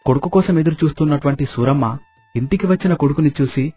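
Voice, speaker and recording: A man's voice: a little high, quick pace, dark tone, slightly rough. A man reading out a scripted story as a storyteller. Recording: narration over background music.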